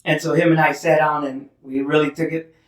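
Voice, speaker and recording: A man's voice: fairly high pitch, level tone, brisk. A man from Michigan, a musician guest, telling stories in a casual interview, chatty and laughing often. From Holland, Michigan. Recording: roomy sound, distant mic.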